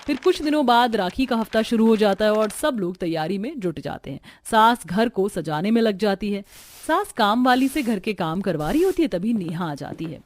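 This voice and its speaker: A woman's voice: mid-range voice, very animated, moderate pace, slight background noise. A woman reading scripted narration for a family drama cartoon story. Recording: music bed behind the voice. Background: background music.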